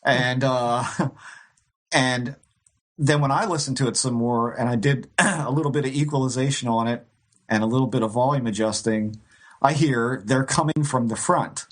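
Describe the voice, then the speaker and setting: A man's voice: mid-range voice, moderate pace. A man co-hosting a podcast, telling a ghost-hunting story in a rambling, casual way with frequent restarts and light laughs. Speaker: co-host.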